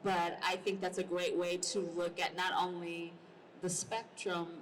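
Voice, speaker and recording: A woman's voice: lowish voice, level tone, unhurried. A woman moderating a panel discussion at a convention. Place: large indoor convention panel. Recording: noisy convention hall, distant mic.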